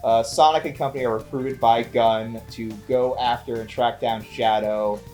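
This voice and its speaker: A man's voice: mid-range voice, fairly even intonation, moderate pace, a little mellow. Male review co-host, conversational and dryly jokey, light music underneath. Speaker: co-host of a movie review show. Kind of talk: casual film review chat.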